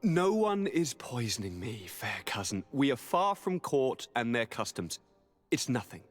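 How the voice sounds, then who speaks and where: An actor playing a young noble governor in a video game, sickly and restless, with a theatrical, courtly and expressive delivery. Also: male voice, medium pitch, measured pace, faint music behind. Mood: eager, reassuring. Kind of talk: video game cutscene dialogue.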